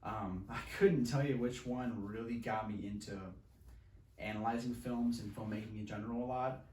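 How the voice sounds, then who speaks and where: Male, 20s, medium pitch. Laid-back, slangy movie podcast co-host in loose conversation, distant mic with plenty of room echo. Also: fairly even intonation, moderate pace, darkish tone, slight background noise. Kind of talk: casual chat. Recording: roomy echo, distant from microphone.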